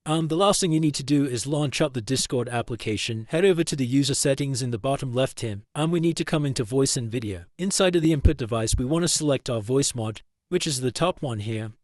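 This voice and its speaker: A man narrating a step-by-step software tutorial in a plain, instructional way, close to a desktop microphone. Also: mid-range voice, expressive, brisk, hardly any pauses, dry recording. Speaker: tutorial host.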